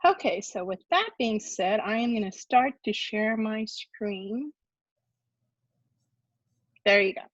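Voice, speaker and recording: A woman's voice: medium pitch, animated, slow pace, pauses often, dry recording. A woman from Japan whose first language is Japanese, with an American accent, giving a friendly online talk as a university ombudsperson, with plenty of ums. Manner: friendly, chatty, with many ums. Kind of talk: online presentation. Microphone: video call. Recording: dull lo-fi video-call audio.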